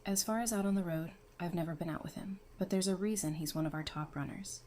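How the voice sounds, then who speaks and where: A woman's voice: on the low side, quick pace, dark tone, slight background noise, close to an everyday voice. A woman playing a friendly, knowledgeable member of a survivor community in an audio drama, speaking in an easygoing, explanatory way. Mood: reassuring, easygoing.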